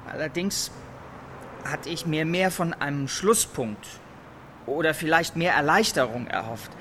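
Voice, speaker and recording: A man playing an earnest, bereaved security guard giving a halting public witness statement in a radio drama. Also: on the high side, bright, forceful tone, slight background noise.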